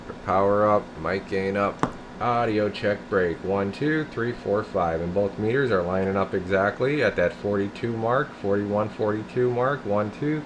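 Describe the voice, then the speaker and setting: A man giving a casual hands-on radio repair demo, thinking aloud informally as he works. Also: low-pitched. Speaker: radio technician demonstrating a tuned-up CB radio. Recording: clear steady background noise, air conditioner hum.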